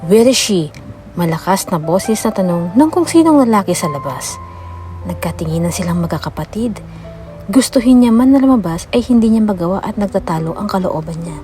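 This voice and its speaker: A woman reading a scripted story as an expressive audiobook narrator. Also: on the low side, fairly quick. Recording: music behind the voice. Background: background music.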